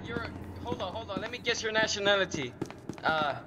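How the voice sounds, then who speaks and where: A man chatting in a game's voice chat, excitable and joking with other players. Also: high voice. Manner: excitable, joking, quick laughs. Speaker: fellow player. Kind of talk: casual gaming voice chat.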